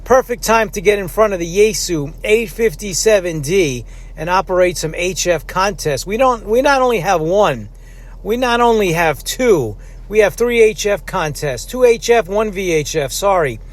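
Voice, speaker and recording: A man hosting a hobby radio channel, talking casually and haltingly as he explains things in an informal vlog style. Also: high voice, animated. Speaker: host of a ham radio channel. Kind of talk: casual vlog talk about radio operating. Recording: clear background noise.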